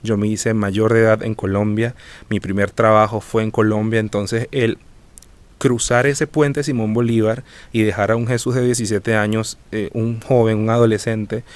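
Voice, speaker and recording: A man in his twenties with a Venezuelan accent, telling his own story as a guest in an earnest, measured way. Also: lowish voice, level tone. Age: 20s. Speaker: guest, student. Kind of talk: reflective personal account.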